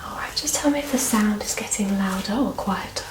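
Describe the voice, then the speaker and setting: A woman speaking softly and partly in whispers as a doctor in an ASMR medical exam roleplay, giving gentle, reassuring instructions. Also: medium pitch, fairly quick. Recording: roomy, distant mic, clear noise and clicks.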